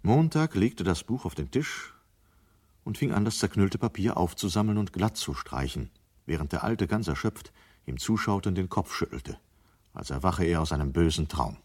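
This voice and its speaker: A man's voice: deep voice, big pitch swings, moderate pace, a little mellow, husky, full character voice. A man narrating a scripted German radio play from the 1970s.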